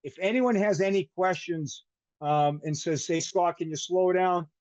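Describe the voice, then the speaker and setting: A man's voice: high-pitched, a little slow, darkish tone, dry recording. An older man with a Boston accent presenting a live webinar, rambling with restarts and a joking tone. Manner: rambling, restarts and self-corrections, jokey. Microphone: video call microphone. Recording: dull webinar call audio.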